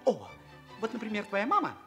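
An actor playing a sly, excitable clown servant, needling and exclamatory, in a theatrical radio comedy. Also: male voice, sits high, a little fast, music in the background. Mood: explaining.

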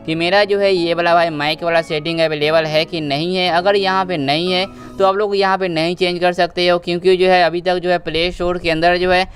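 A man's voice: sits high, fairly even intonation, brisk, few pauses, fairly bright tone. A young man giving a casual tutorial voice-over as a gaming host, repeating himself and addressing listeners as brothers. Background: background music.

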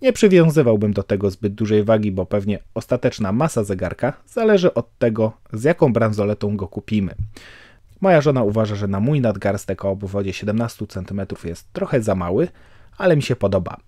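A man giving a calm, measured voice-over for a watch review, explaining technical details. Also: lowish voice, no room sound, studio quality.

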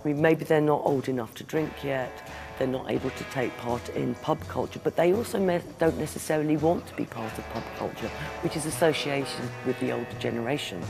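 A man's voice: mid-range voice, fairly quick, vocal fry, over music. A man interviewed as a historian, explaining social change in a lecturing way.

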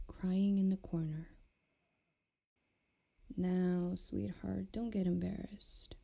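Woman doing a flirty pirate captain lady for asmr roleplay, soft breathy near-whisper, bit of gravelly fry, lowish voice. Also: exaggerated voice. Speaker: audio roleplay performer. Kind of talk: intimate ASMR audio roleplay.

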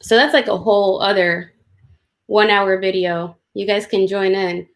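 A woman's voice: mid-range voice, steady tone, measured pace. Woman illustrator casually explaining her process. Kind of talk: casual commentary on a drawing demo. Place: indoors on a video call.

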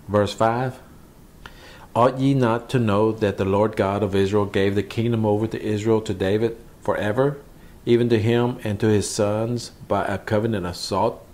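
A man's voice: fairly low pitch, a little mellow. A man, a pastor, teaching from the Bible in a conversational sermon, repeating phrases for emphasis.

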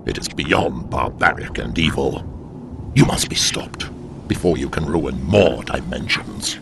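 A man playing an ancient alien demon in an audio drama, grave and condemning, speaking in slow, solemn, formal pronouncements. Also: rumbling low, expressive, creaky, noisy background, exaggerated voice.

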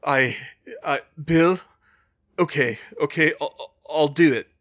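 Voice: medium pitch, slow, a bit bright, dull audio. A performer playing a reluctant, distressed teenage boy who stammers earnestly.

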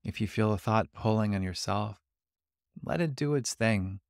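Male meditation guide: lowish, creaky voice, calm and gentle delivery, leaving long pauses between instructions. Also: dry recording, clean studio sound. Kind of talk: guided body scan meditation.

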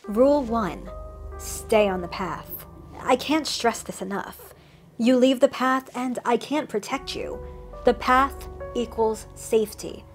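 A woman voicing a friendly male woodland cryptid, an ancient creature with rotting flesh who chats and reassures with wry asides. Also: fairly high pitch. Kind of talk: scripted storytelling monologue.

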